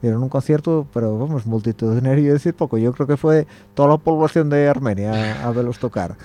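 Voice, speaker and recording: A man's voice: mid-range voice, fairly quick, warm tone. A young man, hosting a casual radio chat, rambling, laughing often and trailing off mid-sentence. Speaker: radio show host.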